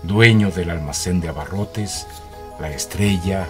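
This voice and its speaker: An actor narrating a radio drama as a storyteller. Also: male voice, deep voice, unhurried. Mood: wry, storytelling. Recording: music behind the voice.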